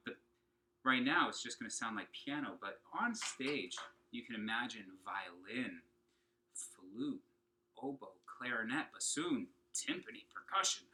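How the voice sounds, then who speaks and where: A man's voice: medium pitch, expressive, unhurried, sharp tone, rough recording. A man giving an upbeat, encouraging lesson to children, talking to them directly and asking questions as he goes. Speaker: children's singing and opera teacher. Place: at home.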